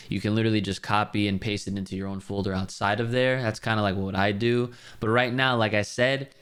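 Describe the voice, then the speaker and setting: A man hosts a casual how-to screen tutorial in a smooth, clear voice. His pitch sits in the middle range for a man. He explains each step conversationally, talking straight to the viewer. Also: fairly bright tone. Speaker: tutorial host. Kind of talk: casual software tutorial talk. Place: voice-over on a screen recording of a game.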